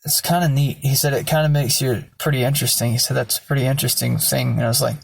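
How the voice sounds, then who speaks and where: A hoarse young man telling a personal story to his own camera at home, rambling and swearing freely. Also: medium pitch, steady tone, fast, dry recording. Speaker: vlogger. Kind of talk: casual storytelling vlog. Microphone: self-filmed camera.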